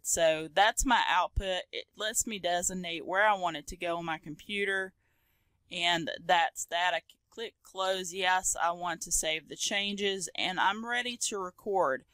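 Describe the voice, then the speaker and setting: A woman hosting a casual software tutorial, explaining step by step in an informal, conversational way. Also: lowish voice, no echo. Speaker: tutorial host.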